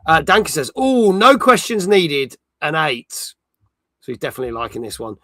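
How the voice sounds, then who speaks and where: A British man in his forties hosts a casual gaming stream. His voice sits on the high side and is very bright and forward, captured close and dry. He chats and reads out live comments as he goes. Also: very animated, normal speed, dry recording. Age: 40s.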